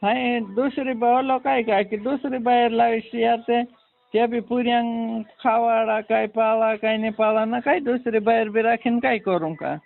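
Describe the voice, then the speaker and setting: A man with a creaky voice talking casually on a phone call in a rambling, repetitive way. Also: very high-pitched, fairly even intonation, moderate pace. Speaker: prank call participant. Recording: narrow phone line with faint noise.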